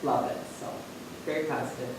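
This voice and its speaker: A man's voice: mid-range voice, fairly even intonation. Echoey far-off man reporting at a meeting. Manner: halting, many fillers and restarts. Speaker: school principal giving a report. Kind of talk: spoken report at a board meeting. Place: large meeting room. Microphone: distant room mic. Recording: echoey room from far off, noisy.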